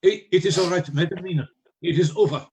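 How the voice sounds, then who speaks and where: A man acting a learned vampire hunter in a radio drama. His voice sits a bit high for a man, and he speaks with grave, measured authority and steady resolve. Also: close to an everyday voice. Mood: soothing.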